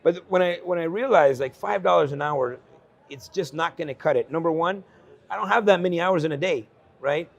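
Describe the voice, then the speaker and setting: A man in his forties, originally from El Salvador, talks through his life story in an earnest, unpolished way, full of fillers and quick laughs. His voice is gravelly and rough-edged, sitting somewhat high for a man. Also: muffled recording. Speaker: guest entrepreneur. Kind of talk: candid conversational storytelling. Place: investment conference.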